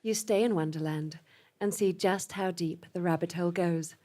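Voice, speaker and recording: A woman's voice: lowish voice, moderate pace, warm tone, natural voice. A woman playing an exasperated, urgent hacker informant in a comic audio drama, weary and portentous.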